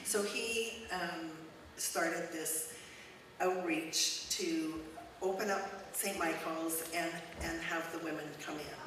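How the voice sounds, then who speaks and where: Loud-voiced woman in echoey church. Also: lowish voice, fairly even intonation, takes it slowly. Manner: warm, conversational, with frequent ums. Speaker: guest speaker, charity executive director. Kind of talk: informal talk to a church congregation. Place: church hall. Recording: echoey church hall, mic at a distance, noisy.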